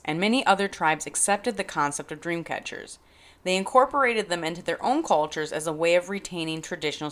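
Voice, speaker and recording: Female podcast host, lower register, very animated intonation, scripted explainer with wry touches. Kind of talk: scripted educational podcast narration.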